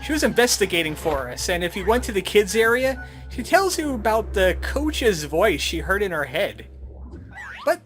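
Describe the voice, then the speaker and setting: Gaming host, animated and wry, mid-pitched, commenting on play over background music. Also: normal speed. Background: adventure game music.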